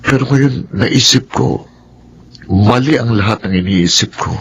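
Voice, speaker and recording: An actor with a Filipino accent playing a remorseful husband who talks in an earnest, halting way, in a radio drama. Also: male voice, mid-range voice, muffled recording, a little hiss or hum, lightly acted. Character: remorseful middle-aged husband seeking forgiveness. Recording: radio drama with soft music.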